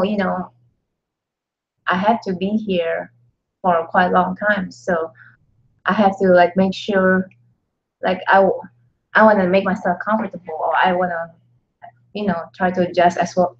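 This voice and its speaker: Woman, husky, lowish voice, halting casual chat, lo-fi audio. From Indonesia. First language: Indonesian. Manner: halting, chatty, laughs often. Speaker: co-host. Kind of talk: casual live-stream chat.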